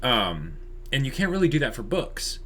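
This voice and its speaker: A man co-hosting a channel, chatting casually in halting, loosely phrased sentences. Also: medium pitch, very animated, fairly bright tone, slight background noise. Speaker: channel co-host. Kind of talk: casual chat.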